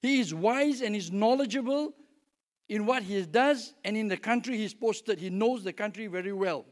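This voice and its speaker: High-pitched Singaporean male preacher. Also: normal speed. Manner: conversational, checks in with listeners using questions. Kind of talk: sermon with scripture reading and teaching.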